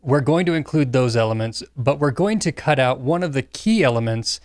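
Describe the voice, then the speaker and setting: A man's voice: mid-range voice, lively intonation, brisk, darkish tone, no echo, clean studio sound. A man making his points in a casual discussion about films.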